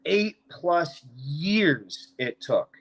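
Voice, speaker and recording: A man in his thirties talking casually and off the cuff, emphatic, boastful and quick to swear. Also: on the high side, animated, fairly bright tone, husky. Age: 30s. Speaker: financial consultant and podcast speaker. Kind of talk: casual unscripted talk.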